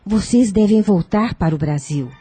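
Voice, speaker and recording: A woman playing a firm, persuasive older aunt in a radio soap opera, genteel, formal and measured. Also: mid-range voice, expressive, warm tone, husky, soft background music. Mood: grave, persuasive.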